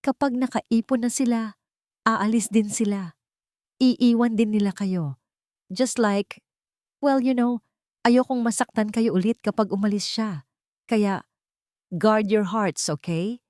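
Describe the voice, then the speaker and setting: A woman's voice: medium pitch, slow pace, long pauses, a little mellow, no echo, clean studio sound, voice pushed up, close to an everyday voice. A woman voicing a cold, condescending rich fiancée who talks in sugary, manipulative phrases, mixing English and Tagalog, in an audio drama. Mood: coldly coaxing.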